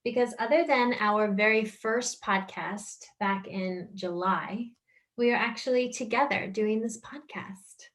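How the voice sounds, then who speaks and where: Animated Long Island woman hosting a podcast. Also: medium pitch. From United States. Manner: animated, laughs often, talks in quick bursts. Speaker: podcast host. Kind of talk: casual chat.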